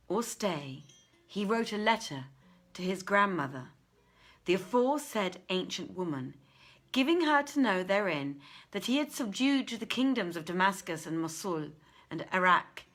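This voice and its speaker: Female storyteller, unhurried and theatrical, forceful bright tone, deliberate phrasing for classic literary narration. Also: mid-range voice. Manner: theatrical, measured, deliberate delivery. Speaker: storyteller reading aloud. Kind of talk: dramatic literary story reading.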